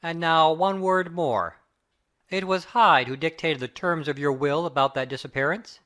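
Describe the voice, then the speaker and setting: A man playing a grave, probing middle-aged Victorian lawyer in an audiobook drama, with measured, formal speech. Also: on the high side, bright, forceful tone. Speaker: audiobook cast reader. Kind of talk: dramatic reading of Victorian fiction.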